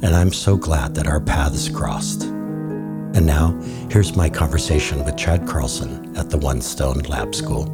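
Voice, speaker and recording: A man's voice: a bass voice, unhurried, over loud music. A man from Hawaii hosting a podcast, asking reflective, conversational questions of his guest. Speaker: podcast host. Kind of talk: podcast interview.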